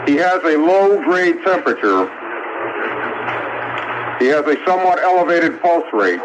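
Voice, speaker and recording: A man giving a formal medical statement as a spokesman, in careful, halting phrases. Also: on the high side, sing-song. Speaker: hospital spokesman. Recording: muffled, noisy archival press feed.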